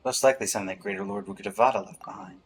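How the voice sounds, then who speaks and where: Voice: low-pitched, rapid-fire, a little hiss or hum. A game streamer giving casual live reaction commentary, with offhand, dry asides.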